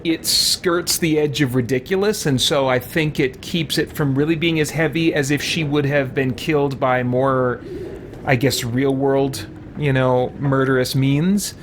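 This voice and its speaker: A man's voice: medium pitch, steady tone, a little slow, a little hiss or hum. A man giving casual livestream commentary on a game, thinking aloud in a hesitant, reflective, rambling way. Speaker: game streamer. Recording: music behind the voice.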